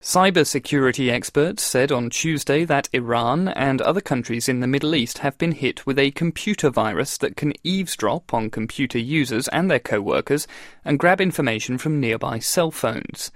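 A man's voice: mid-range voice, expressive, moderate pace, no echo, studio quality. A man reading scripted international news as a radio newsreader.

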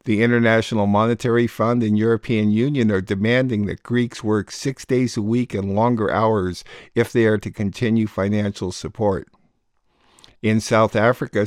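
Lower-voiced male radio host, dry. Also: dry recording. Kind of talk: scripted narration introducing news reports.

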